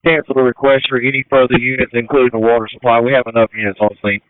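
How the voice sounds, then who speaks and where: Crisp male incident commander on tinny radio. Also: medium pitch, little pitch movement, very fast, no echo. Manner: matter-of-fact, procedural, directive. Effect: two-way radio transmission. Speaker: fire battalion chief acting as incident commander. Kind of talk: emergency radio traffic. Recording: tinny narrowband fire radio channel.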